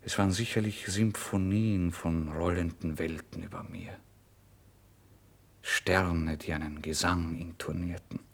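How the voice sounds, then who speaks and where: A man narrating a literary first-person story in a brooding, expressive way, moving between hushed and emphatic delivery. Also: low-pitched, unhurried, creaky, husky. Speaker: audiobook narrator.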